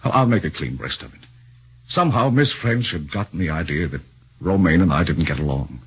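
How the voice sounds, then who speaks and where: A nervous young man in his thirties protesting his innocence, stammering and earnest, his voice swinging widely with emotion. The husky tone and dull lo-fi sound of a 1940s radio broadcast mark it as vintage. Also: sits low, lively intonation, fairly quick, exaggerated voice. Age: 30s. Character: anxious young man accused of murder. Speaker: radio drama actor.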